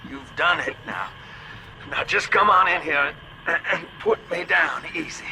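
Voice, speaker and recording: A man's voice: fairly high pitch, unhurried, very noisy. An actor playing a slick, world-weary older businessman in a video game, with a folksy, familiar drawl. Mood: pained, resigned.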